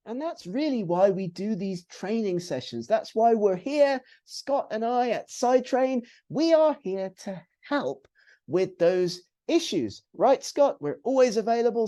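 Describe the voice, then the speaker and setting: A British man leads an online writing workshop, his voice rising and falling with animated emphasis. His tone is mellow and soft rather than bright. He talks like an eager teacher in an upbeat manner. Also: sits high. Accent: British English. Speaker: webinar trainer. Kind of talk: presenting a live online writing webinar. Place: home or office, on a video call.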